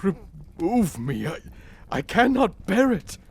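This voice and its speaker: A man's voice: high-pitched, big pitch swings, takes it slowly, darkish tone, breathy edge, full character voice. Man acting an anguished miser, wildly swinging voice. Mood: anguished, pleading. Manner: theatrical, pleading. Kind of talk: audio drama acting.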